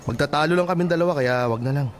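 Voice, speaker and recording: A man's voice: a little high, fairly bright tone. A man playing a straitlaced, obedient young man in a radio drama, defensive and judgmental.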